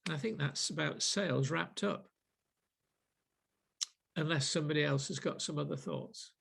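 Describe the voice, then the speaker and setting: Middle-aged manchester guy running a sales session, real gravelly voice, slightly high, lots of ums. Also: fairly bright tone. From Manchester, England. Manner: deliberate, with frequent ums and restarts. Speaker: sales trainer leading a group session. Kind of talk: reflective group talk.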